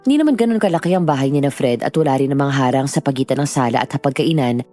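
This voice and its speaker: A woman's voice: a bass voice, lively intonation, fast, mellow, soft background music. A woman giving scripted first-person narration of a horror story.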